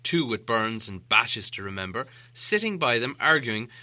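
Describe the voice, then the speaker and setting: A male audiobook narrator tells a story with theatrical flair. His voice is very bright and forceful, with animated pitch movement, and the sound is close and dry. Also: moderate pace, no echo. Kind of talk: expressive scripted storybook narration.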